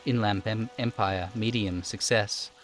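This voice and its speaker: Low-voiced man narrating a game stream. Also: fairly even intonation, a little slow, soft background music. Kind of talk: live game narration and casual stream chat.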